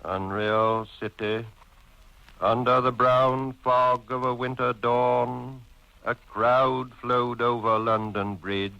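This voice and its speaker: A man giving a dramatic poetry reading with grave, deliberate phrasing. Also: medium pitch, flat delivery, vocal fry, no room sound, highs cut off.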